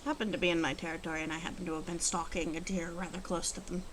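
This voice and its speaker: A woman playing a proud, wry female werewolf of the forest in an ASMR roleplay, teasing and faintly disdainful, speaking deliberately to the listener. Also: fairly low pitch, flat delivery, noisy background, natural voice. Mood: puzzled, disdainful.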